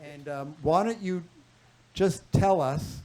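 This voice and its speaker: A man hosting a health panel at a clinic event, asking questions haltingly with many fillers and restarts. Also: a little high, a little mellow. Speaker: panel host, clinic doctor. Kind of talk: moderating a live health panel.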